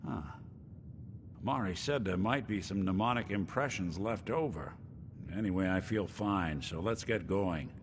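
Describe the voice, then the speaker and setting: Low, reverberant weary detective. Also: male voice, normal speed. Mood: wry, casual. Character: easygoing, world-weary male robot detective. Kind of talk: video game voice acting. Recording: reverberant, noisy game capture with rumble.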